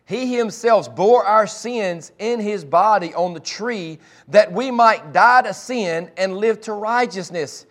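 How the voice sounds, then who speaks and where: A man preaching a sermon, his voice raspy from cheering at ball games and allergies, with a fervent way of building to emphatic, repeated lines. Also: sits high, slow, hardly any pauses, fairly bright tone. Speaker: pastor.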